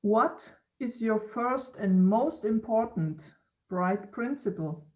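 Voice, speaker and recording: A woman interviewer on a video call who speaks haltingly, repeating words and laughing often. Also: a little high, lively intonation, a little mellow, rough recording. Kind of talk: casual conversation.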